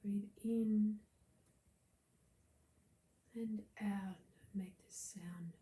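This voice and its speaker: A woman leads a guided meditation as a breathing teacher, speaking in a soft, soothing, gently instructive way that turns breathy at times. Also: mid-range voice, fairly even intonation, drawn-out words. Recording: roomy echo, faint background noise.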